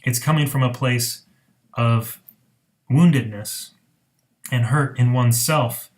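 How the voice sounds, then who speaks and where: A man with a medium-pitched voice gives an informal talk as a marriage counselor. He sounds earnest and reflective. He leaves deliberate pauses between phrases as he explains things to the viewer.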